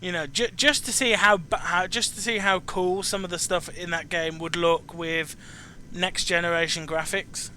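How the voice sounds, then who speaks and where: A man's voice: high voice, fairly even intonation, normal speed, sharp tone, a little hiss or hum. A man hosting a casual chat about video games, speaking hesitantly and often repeating and restarting his phrases. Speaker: chat show host interviewing a guest.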